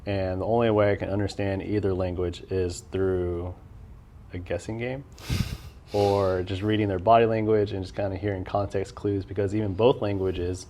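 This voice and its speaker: A young adult man hosting a casual interview, laid-back and quick with murmured backchannels. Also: low-pitched, level tone, warm tone, a little hiss or hum. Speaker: podcast host.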